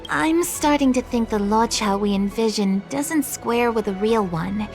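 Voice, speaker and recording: An actor playing a calm, helpful adventurer in a video game. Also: mid-range voice, unhurried, soft background music, close to an everyday voice. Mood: reflective.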